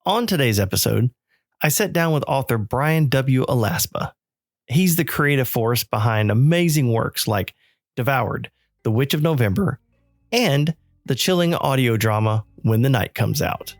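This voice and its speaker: A man's voice: mid-range voice, animated, measured pace, no room sound, studio quality. A man hosting a podcast, delivering an introduction and promotional notes to listeners. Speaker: podcast host.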